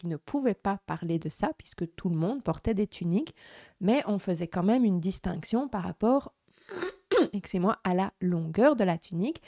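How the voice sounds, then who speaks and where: A woman hosting an explanatory religious vlog, speaking in a measured, didactic way. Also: fairly low pitch, dark tone, no room sound, clean studio sound. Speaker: host answering viewer comments.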